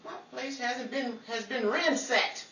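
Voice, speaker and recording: An actor playing an excitable, blustering man who stammers in a hammy 1940s radio comedy. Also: very high voice, measured pace, heavily acted. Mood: flustered. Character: Henry, excitable, put-upon comic man in 1940s Hollywood. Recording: roomy, distant mic, very noisy with crowd.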